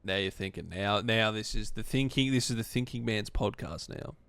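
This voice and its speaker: A man's voice: lowish voice, moderate pace, a bit bright, smooth, no echo. A young Australian man co-hosting a podcast, chatting and joking in a matey, casual way. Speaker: podcast co-host. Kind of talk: casual chat.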